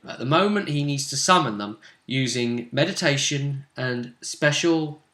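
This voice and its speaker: A man casually talking through a tabletop role-playing game as game master, often pausing and thinking aloud in broken phrases. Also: mid-range voice, expressive, sharp tone. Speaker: game master, host of a role-play vidcast. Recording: roomy, echoing room sound.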